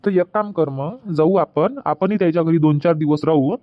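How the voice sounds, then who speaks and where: A man's voice: on the high side, normal speed, dry recording, dull audio, close to an everyday voice. A man voicing a young husband in a comedy cartoon, explaining plans at length with a Varhadi Marathi accent. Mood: planning. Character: young husband Suraj, easygoing son.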